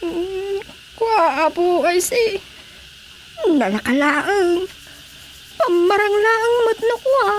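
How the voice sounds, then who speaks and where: An actress playing a distressed wife in a radio drama comedy, hesitant and whimpering, with a Filipino accent. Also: female voice, extremely high pitch, expressive, slow pace, pauses often, full character voice, rough recording. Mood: hesitant, embarrassed. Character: distressed wife seeking help, hesitant and tearful. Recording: faint background noise.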